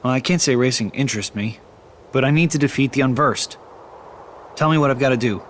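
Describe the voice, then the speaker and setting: A man's voice: medium pitch, a little fast, soft background music, lightly acted. A young man playing a serious, earnest male hero in a video game, restrained in manner. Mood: firm, earnest.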